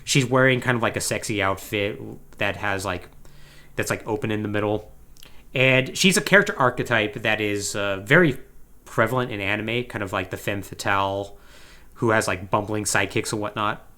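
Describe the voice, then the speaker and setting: A man's voice: lowish voice, moderate pace. A man co-hosting a podcast, giving a casual, comedic plot recap in an emphatic, conversational way with wry asides. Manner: animated, emphatic storytelling. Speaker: podcast co-host.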